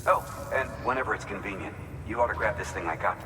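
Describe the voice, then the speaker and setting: A man's voice: fairly low pitch, fast, over music, natural voice. A man acting as a friendly, grateful colleague in a video game, talking in a casual, encouraging way. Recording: echoey, reverberant, faint ambient noise.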